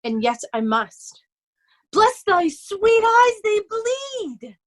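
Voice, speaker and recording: A very high voice plays a young nobleman disguised as a mad beggar acted by a woman. The delivery is sorrowful and theatrical, moving between anguish and singsong madness in a Shakespearean play reading. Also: sing-song, very slow, full character voice. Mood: feigned madness. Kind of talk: remote readers' theatre Shakespeare performance.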